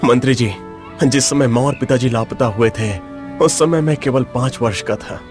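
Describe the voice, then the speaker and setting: A man's voice: medium pitch, moderate pace, music in the background, natural voice. A man playing a sorrowful, earnest young prince in a cartoon moral story.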